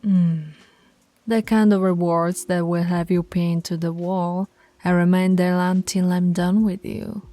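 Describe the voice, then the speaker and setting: A woman's voice: lowish voice, flat delivery, a little slow, dark tone, no echo, natural voice. A woman voicing a dominant female werewolf pack alpha in an audio roleplay, teasing and commanding, often dropping to a whisper. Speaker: voice actress.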